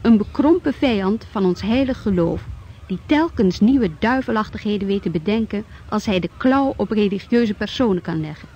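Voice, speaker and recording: A woman's voice: mid-range voice, animated, normal speed, dark tone, breathy edge. A woman in a Dutch radio drama playing a grim, solemn narrator with a declamatory reading style. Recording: dull sound with faint noise.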